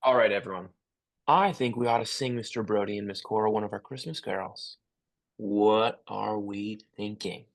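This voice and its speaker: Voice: mid-range voice, steady tone, normal speed, lightly acted. An actor playing a cheerful, easygoing male schoolteacher at a table read, coaxing and upbeat as if to a class. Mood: encouraging.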